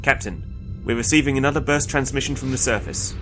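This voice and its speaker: An actor playing a dutiful, pragmatic starship officer with a formal, matter-of-fact manner in a sci-fi audio drama. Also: male voice, medium pitch, fast talker, over loud music, lightly acted. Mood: tense, businesslike.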